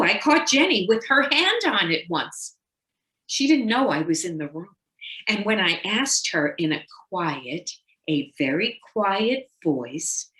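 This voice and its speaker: A woman acts out a Victorian wife from a short story, confiding and fixated, edging into paranoia. She speaks very slowly and dramatically, leaving loaded pauses. The room around her rings with echo. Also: mid-range voice, a bit bright. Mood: suspicious, fearful. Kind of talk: dramatic first-person short story reading.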